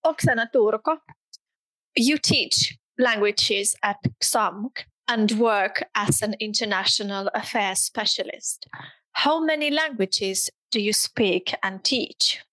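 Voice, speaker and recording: A woman whose first language is Russian hosts a podcast about languages as a teacher. She speaks at an unhurried pace with clear, deliberate phrasing and friendly questions, and the recording is dry and close. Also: medium pitch, bright, forceful tone, no room sound, low-quality recording. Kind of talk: podcast hosting and interview.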